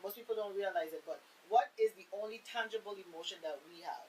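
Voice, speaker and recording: A woman's voice: mid-range voice, quick pace, dark tone, rough recording. A woman explaining her views conversationally on a radio panel, earnest and emphatic, often posing rhetorical questions. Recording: faint hiss.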